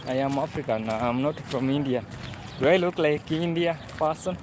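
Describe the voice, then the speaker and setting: A man's voice: fairly high pitch, moderate pace. A young Kenyan man with a Kenyan accent, vlogging live to viewers as he walks. He chats along, repeats himself and speaks straight to his audience. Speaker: travel vlogger. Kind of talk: walking livestream vlog. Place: outdoors on a city street. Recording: street noise around the phone.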